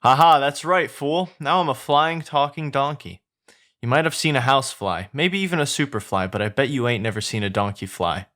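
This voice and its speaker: A man voicing an excitable, boastful male talking donkey in an exclamatory, emphatic way. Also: medium pitch, moderate pace, voice pushed up, lightly acted. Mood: gleeful, boastful.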